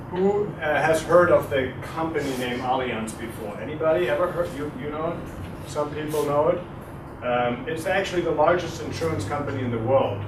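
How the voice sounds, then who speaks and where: German guy lecturing a class, lots of uhs, roomy echo, far from the mic. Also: medium pitch. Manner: relaxed, hesitant with many fillers, quizzes audience. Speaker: guest lecturer. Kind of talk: university talk with audience questions. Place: lecture room. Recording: echoey room, distant, noisy.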